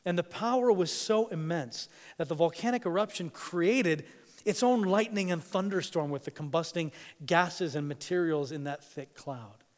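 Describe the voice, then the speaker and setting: A man who grew up in East Africa, preaching a sermon, swinging between hushed and emphatic delivery with dramatic pauses. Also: on the high side. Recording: long hall reverb.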